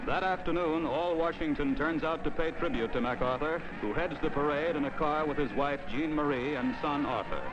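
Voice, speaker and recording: A man's voice: a little high, moderate pace, few pauses, heavy background noise. A man reading scripted 1950s newsreel narration in an emphatic, declamatory way. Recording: dull old newsreel film soundtrack. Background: music.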